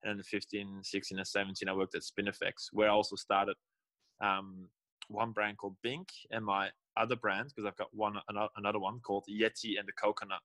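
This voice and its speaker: A man's voice: fairly low pitch, level tone, brisk, bright, forceful tone, no echo, low-quality recording. Rambling young man, dry sound. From Belgium. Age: young adult. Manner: rambling, restarts and self-corrections. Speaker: guest winemaker. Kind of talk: casual interview.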